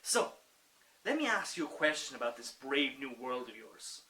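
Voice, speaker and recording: An actor playing an insistent, sarcastic peacemaker in a dramatic monologue, full of rhetorical questions. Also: male voice, medium pitch, very animated, normal speed, bright, forceful tone, low-quality recording. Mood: mocking, impassioned. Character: impassioned, pleading peacemaker challenging a rebel with sarcasm.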